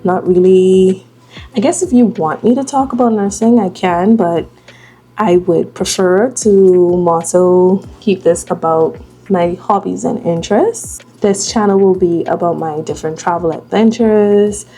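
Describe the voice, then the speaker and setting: A young Bahamian woman in her late twenties talks slowly and easily while she does her makeup. Her voice is gravelly and mellow. Soft music plays faintly behind her. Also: on the low side. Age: 20s. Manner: slow, relaxed, chatty while doing makeup. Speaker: vlogger. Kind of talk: casual get-ready-with-me chat.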